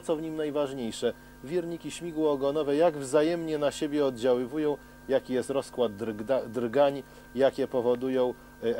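A middle-aged man explaining helicopter testing as a TV presenter, in a measured way with frequent hesitations. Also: medium pitch, mellow, slight background noise. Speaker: TV science presenter. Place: outdoors at a helicopter test stand.

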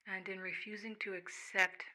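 A woman giving a soft, reflective ASMR monologue addressed to the listener, with pauses. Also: mid-range voice, little pitch movement. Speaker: ASMR host speaking as the listener's higher self.

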